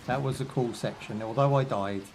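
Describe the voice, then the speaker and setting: A young British man talks his way through a live game stream, drifting between jokes and side stories with plenty of ums and you-knows. His voice sits at an ordinary middle pitch. A faint hiss of background noise runs under the desk mic. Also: brisk, rough recording. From United Kingdom. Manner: chatty, rambling asides with jokes and fillers. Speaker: gaming streamer. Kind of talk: casual live gameplay commentary.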